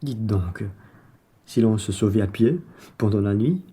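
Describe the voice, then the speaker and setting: A practical, grumbling male traveller played by a man with a very dark, mellow voice. He speaks plainly, in the style of an amateur radio play. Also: lowish voice, expressive, unhurried, slightly rough. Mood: dry, resigned.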